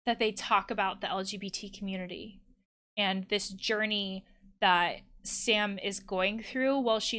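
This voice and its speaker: A woman's voice: mid-range voice, a bit bright, clear, resonant tone. A woman hosting a game playthrough, thinking aloud in a reflective, conversational way. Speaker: host of a gaming channel, therapist. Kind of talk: let's play commentary, reading game text aloud.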